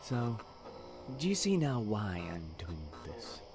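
Deranged serial killer, audio drama: halting, whisper-prone menace, widely swinging pitch, clear music underneath. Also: male voice, big pitch swings, full character voice. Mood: bleak, chilling. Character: deranged, self-righteous male serial killer confiding in his victim.

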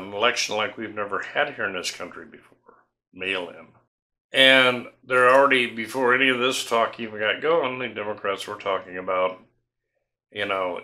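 A man's voice: lowish voice, sharp tone. An older man from Tennessee, talking casually to camera as a homestead vlog host in a folksy, suspicious, rambling way, recorded indoors at home on a desk mic. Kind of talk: casual opinion talk to camera. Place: home studio room indoors.

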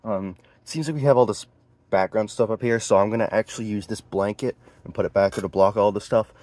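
A man's voice: fairly low pitch, brisk, a little mellow, no room sound. A teenage male vlogger chatting casually in a laid-back, informal way into a handheld phone. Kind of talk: casual vlog chat. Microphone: phone at arm's length. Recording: noisy handheld phone recording.

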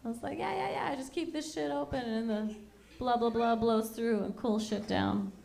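Canadian lady in her 30s doing standup, high voice, chatty and rambly, handheld mic in a small club. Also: moderate pace, vocal fry, a little mellow. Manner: chatty, rambling, self-mocking. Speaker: stand-up comedian and MC. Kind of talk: stand-up comedy set. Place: small dark lounge with a live audience.

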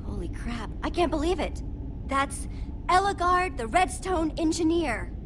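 A woman's voice: very high voice, lively intonation, unhurried, background noise. An actress playing an earnest, plucky young female adventurer and peacemaker in a video game. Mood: eager, curious. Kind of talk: animated video game acting.

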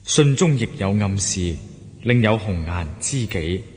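An actor playing a melancholy, lovelorn young scholar in a radio drama, voicing his letter in formal, measured literary phrases. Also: fairly low pitch, lively intonation, a little mellow. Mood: melancholy, yearning. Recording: long hall-like reverb on voice.

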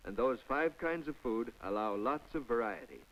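A man's voice: mid-range voice, animated, normal speed, a bit bright, rough recording. A man narrating a public information film in a scripted, chatty voice-over with folksy asides to the viewer. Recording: old film soundtrack with faint music.

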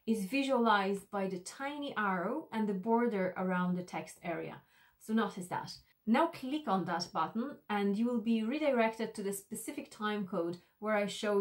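A woman in her thirties presenting an instructional tutorial to camera, explaining step by step in a deliberate, slightly hesitant way. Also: medium pitch. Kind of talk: instructional screencast walk-through to camera.

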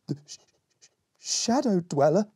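Man putting on a high, stammering, timid elf boy. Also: sing-song, unhurried, a little mellow, slightly rough, no room sound, studio quality, full character voice. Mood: frightened. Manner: stammering, hesitant.

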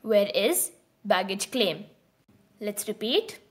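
A woman hosting her own channel, giving a scripted beginner lesson with calm, clear prompts. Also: medium pitch, takes it slowly. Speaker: language teacher and channel host.

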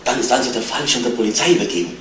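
A man's voice: medium pitch, very fast, fairly bright tone, natural voice. A man playing a brusque, indignant landlord in a 1970s radio crime drama, speaking in a curt, stiffly formal, complaining way. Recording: distant, echoey, noisy old radio play.

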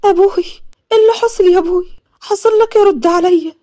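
A woman's voice: high-pitched, fairly even intonation, very fast, mellow, no room sound, natural voice. A woman voicing an emotional young village daughter with an Upper Egyptian accent, urgent and pleading, in an audio story. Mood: alarmed.

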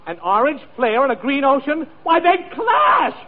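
An actor playing a melodramatic, defiant, prissy sailor in a 1940s radio comedy. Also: male voice, extremely high pitch, sing-song, normal speed, over a noisy crowd, heavy background noise. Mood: indignant, defiant. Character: indignant, prissy male sailor refusing orders. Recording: tinny old radio broadcast.

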